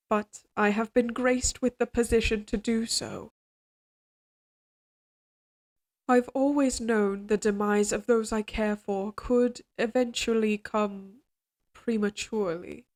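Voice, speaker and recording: A woman playing a regal, possessive queen in an audio roleplay drama, speaking in formal, measured courtly phrases, tender yet controlling. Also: on the high side, natural voice. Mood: solemn, proud.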